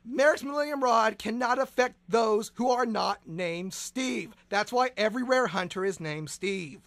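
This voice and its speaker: An excitable man with a high voice hosts a comedic radio show, tripping over restarts and bursting into shouts. He sounds close and dry, with no room around him. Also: unhurried, no echo.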